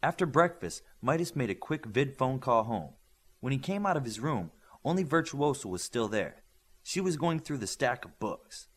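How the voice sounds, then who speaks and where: Male audiobook narrator, mid pitch, very varied intonation, scripted fiction delivery. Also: very animated, normal speed, breathy edge. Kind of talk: scripted narration of a novel, acting out the dialogue.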